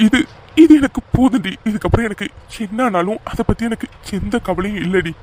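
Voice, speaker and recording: A man playing an injured, lovesick young man in an audio drama, speaking haltingly and earnestly to his beloved. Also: high-pitched, background noise, close to an everyday voice. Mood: emotional, lovelorn. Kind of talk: melodramatic Tamil audio drama acting.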